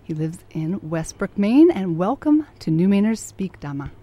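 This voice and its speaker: A woman's voice: medium pitch, sing-song, normal speed, mellow. A woman hosting an interview program, introducing and questioning her guest. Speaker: radio interview host.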